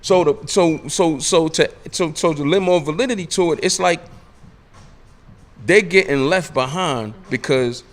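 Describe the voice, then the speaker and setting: A man's voice: a little high, measured pace. A man in his late forties, a radio interview guest, talking emphatically in street slang and hammering his points with repetition. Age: 40s. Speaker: podcast guest.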